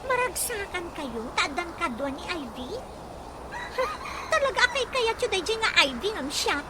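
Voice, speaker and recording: A woman's voice: very high voice, big pitch swings, moderate pace. An actress playing a clingy, discontented young woman with a plaintive manner in a radio drama. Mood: resentful. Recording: very noisy, with ambient sound.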